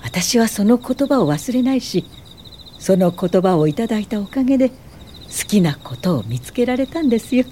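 An actress playing a kindly, dignified elderly woman professor in a radio drama, speaking in a gentle, measured, instructive way. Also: medium pitch, very animated, a little hiss or hum, close to an everyday voice. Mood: gentle, reflective. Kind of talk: Japanese radio drama acting and narration.

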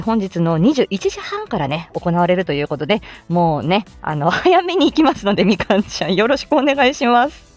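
A woman with a fairly high, very animated voice hosts a casual live chat. She sounds playful and self-deprecating as she moves through her announcements. Music plays softly behind her. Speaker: livestream host; speech-language therapist. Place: inside a car.

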